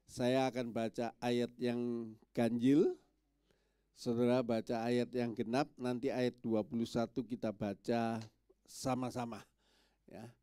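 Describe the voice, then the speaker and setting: A man's voice: mid-range voice, mellow, smooth, no room sound, clean studio sound. A male pastor preaching to his congregation, his voice stuffy and nasal from a cold, with many small filler yeses between phrases. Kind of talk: sermon. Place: church.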